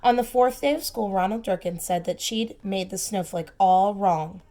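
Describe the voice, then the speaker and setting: A woman reading a storybook aloud to young children, expressive and emphatic, with simple asides and questions for the listeners. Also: mid-range voice. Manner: expressive, slow emphatic phrasing, simple child-directed comments. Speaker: preschool teacher reading aloud.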